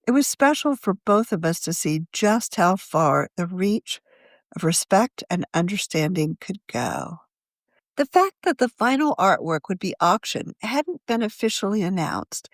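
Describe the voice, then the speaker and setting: A woman reading a written article aloud as a magazine editor, in a measured, journalistic manner of scripted narration. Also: lowish voice, no echo, clean studio sound.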